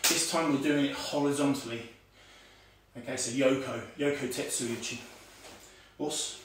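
A man's voice: mid-range voice, normal speed, slight background noise. Man, forties, karate teacher, demonstrating while talking, lots of "okay", heard from a distance, very echoey room. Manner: talks while demonstrating moves, calls out commands; many "okay"s and fillers, relaxed teaching tone. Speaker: karate instructor. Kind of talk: online martial arts lesson. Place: home room with tiled floor. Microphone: far camera on a stand.

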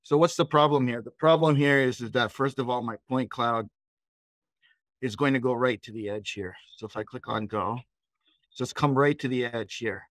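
A middle-aged man leading a live software training session in a conversational, explanatory way, often posing questions and answering them. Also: medium pitch, normal speed, dry recording. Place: indoors, on a video call.

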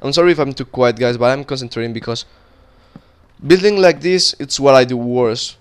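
A man's voice: mid-range voice, normal speed, smooth. A young man from Colombia, a native Spanish speaker with a Colombian accent, rambling casually while commenting on his gameplay. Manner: rambling and chatty, talking while playing, frequent restarts. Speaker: gaming video creator.

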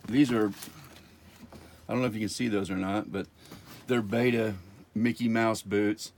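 Middle-aged man, slow unhurried delivery, casual halting inventory walkthrough, noisy phone video in a warehouse. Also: fairly low pitch, steady tone, darkish tone. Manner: casual, halting, repeats item names. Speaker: warehouse tour guide. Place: large indoor warehouse. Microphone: handheld phone camera nearby.

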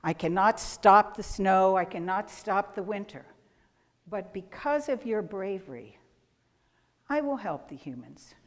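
A woman's voice: mid-range voice, animated, a little slow, long reverb tail, close to an everyday voice. A woman voicing a female Supreme Being in a children's story, speaking firmly and solemnly.

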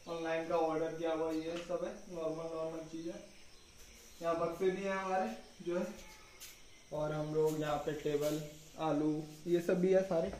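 A man's voice: fairly high pitch, little pitch movement, moderate pace, a little mellow, a little hiss or hum. A young man giving a casual, chatty house tour as he walks through the rooms and talks to whoever is filming him. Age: young adult. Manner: chatty, walks while talking to a filming sister. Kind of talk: casual home tour vlog. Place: small concrete house, bare rooms and rooftop. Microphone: camera held by someone else, a few steps away. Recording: echoey bare rooms, distant camera mic.

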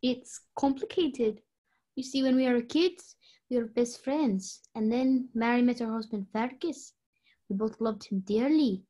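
A woman's voice: on the high side, a little slow, mellow, rough recording. A young woman playing an upset, hesitant, defensive party guest in an amateur radio play. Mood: wistful, defensive. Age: young adult.

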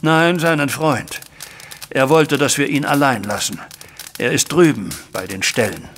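An actor playing a stern, proud older banker with an emphatic, formal manner, in a German audio drama. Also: male voice, mid-range voice, measured pace, fairly bright tone, a little hiss or hum, natural voice.

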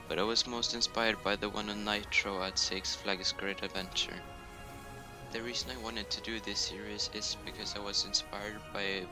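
A man with a Norwegian accent, speaking English as a second language, giving a casual voice-over for a screen recording of his video game build, with joking self-deprecating asides over poor home-mic audio. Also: on the low side. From Norway. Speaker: YouTuber. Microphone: home computer mic, poor audio.

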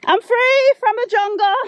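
A woman's voice: very high-pitched, dull audio. A Jamaican woman in her forties, vlogging casually while walking outdoors, recording on a handheld phone, playful with laughing asides. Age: 40s. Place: outdoors in rural bush and roadside. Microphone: handheld phone camera.

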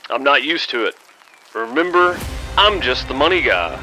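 A man's voice: a little high, big pitch swings, normal speed, sharp tone, soft background music, full character voice, poor audio. A man playing a dry, teasing boss who pays for investigations, in an audio drama. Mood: dry banter.

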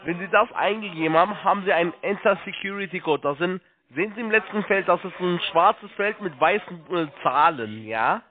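A man working as a call-centre agent, giving halting step-by-step instructions, repeating himself and spelling things out. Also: high voice, lively intonation. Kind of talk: step-by-step phone sales instructions. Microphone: telephone. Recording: tinny narrow phone line.

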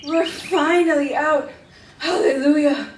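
A woman's voice: fairly high pitch, expressive, moderate pace, a little mellow, close to an everyday voice. A teenage girl playing an anxious, polite young hiker in a school radio drama on an echoey stage. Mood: elated. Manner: nervous. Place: indoor theatre stage. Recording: echoey, noisy hall, distant microphone.

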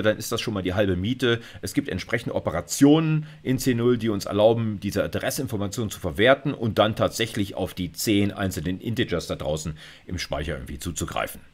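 A middle-aged man giving an informal, conversational lecture, often punctuating explanations with little check-in words. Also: lowish voice. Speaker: university computer science lecturer. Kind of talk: informal video lecture with slides.

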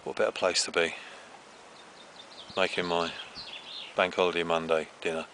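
Low-voiced middle-aged man outdoors. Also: level tone, normal speed. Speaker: outdoor cooking vlogger. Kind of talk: casual chat to camera. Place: outdoors on a grassy riverbank under trees. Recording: outdoor camera audio with clear background noise.

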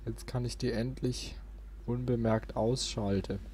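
A man's voice: medium pitch, steady tone, measured pace, a little mellow, slight background noise. A man giving casual gameplay commentary as a let's play host.

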